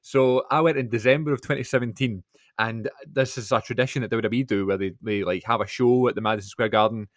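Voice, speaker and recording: Fast-talking man telling a story. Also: mid-range voice, steady tone, no echo, clean studio sound. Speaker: podcast co-host. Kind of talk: casual podcast chat.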